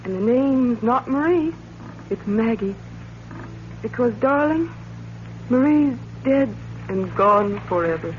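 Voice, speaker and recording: A woman's voice: on the high side, expressive, slow, long pauses. An actress playing a woman with a secret, a former nurse and wife, in 1940s radio melodrama style. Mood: tender, controlled. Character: accused former nurse, now a composed, affectionate wife. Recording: dull noisy 1940s radio recording.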